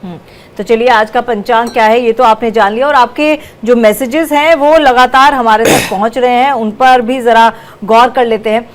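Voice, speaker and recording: A woman hosting a live TV astrology call-in show, brisk and repeating points for viewers, coughing now and then. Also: on the high side, fairly even intonation. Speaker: news channel anchor. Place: TV studio.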